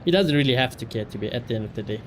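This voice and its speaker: A man chatting casually on a livestream, reacting and ranting in an animated, blunt, sweary way. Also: medium pitch, racing, slight background noise. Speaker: live streamer.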